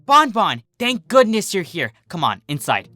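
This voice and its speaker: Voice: medium pitch, sing-song, moderate pace, sharp tone, no echo, voice pushed up. A storyteller voicing an anxious, bossy young female unicorn with a fretful, insistent manner. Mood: urgent.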